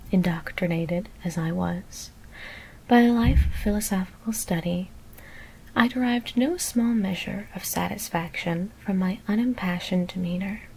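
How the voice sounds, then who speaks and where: A woman whispering a scary story in ASMR style, reading in a breathy, deliberate, literary manner. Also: mid-range voice, darkish tone, slight background noise, low-quality recording. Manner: measured, deliberate literary narration.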